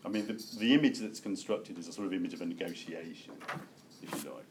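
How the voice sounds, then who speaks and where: A man lecturing to a seminar group, halting and self-correcting as he thinks aloud and opens things up for discussion. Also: deep voice. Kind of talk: academic lecture with open discussion. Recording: noticeable background room noise.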